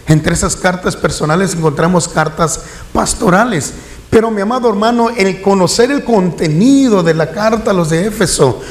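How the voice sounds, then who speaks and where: A middle-aged man preaches a sermon in a high voice. He keeps turning to his listeners, calling them warmly and pressing them with questions. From Mexico. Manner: preaching with a rhetorical refrain and questions to the congregation. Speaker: pastor.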